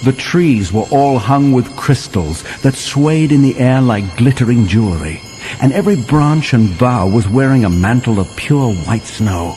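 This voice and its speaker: A man plays a settler telling a children's tale of winter adventure. His mid-pitched voice swings widely and lingers on dramatic pauses, with music playing behind him. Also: close to an everyday voice, rough recording. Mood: wondering. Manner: animated, vivid storytelling with dramatic pauses. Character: male settler storyteller recounting a winter adventure to John. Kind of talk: dramatic children's story narration.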